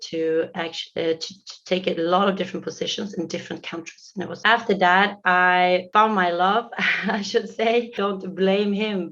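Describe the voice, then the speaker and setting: A woman from Sweden with a Swedish accent answers interview questions conversationally, searching for her words as she goes. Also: on the low side. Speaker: podcast guest, business consultant. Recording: slightly dull, lo-fi sound.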